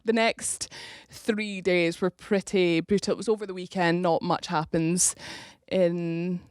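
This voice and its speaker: A woman's voice: fairly low pitch, little pitch movement, measured pace, smooth, no echo. Young woman, from Scotland, rugby player, casual chat, frequent laughs, dry close mic. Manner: chatty, laughs often, many restarts.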